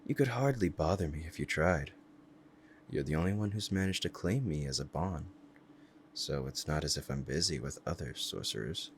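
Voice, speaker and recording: A man's voice: very deep voice, lively intonation, normal speed, a little hiss or hum. A man playing a powerful, proud demon devoted to a master, speaking in a formal, courtly and menacing way in an ASMR roleplay drama. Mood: fond, courtly.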